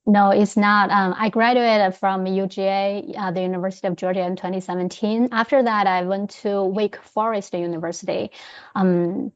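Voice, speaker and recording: A woman's voice: mid-range voice, fairly even intonation, normal speed, smooth. Woman with Chinese accent, muffled recording. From China. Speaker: interview guest, history professor. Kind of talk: conversational interview answers.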